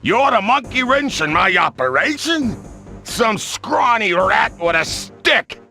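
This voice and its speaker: A man voicing a brutish, dim-witted cartoon gangster bulldog in a video game, gravelly and forceful, blustering in tough street slang. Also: high-pitched, sing-song, takes it slowly, soft background music, full character voice. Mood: scornful disbelief.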